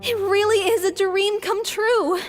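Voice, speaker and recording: An earnest young troupe leader with a high voice and a long reverb trailing each line. She speaks politely and encouragingly over faint game music. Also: steady tone, measured pace. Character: young woman leading a small theater troupe, earnest. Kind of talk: video game voice acting. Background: game score music.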